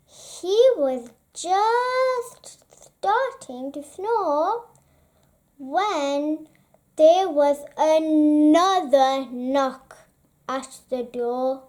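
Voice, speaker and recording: Very slow, high-voiced woman storyteller. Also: darkish tone. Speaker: children's book narrator. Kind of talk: expressive bedtime story read-aloud.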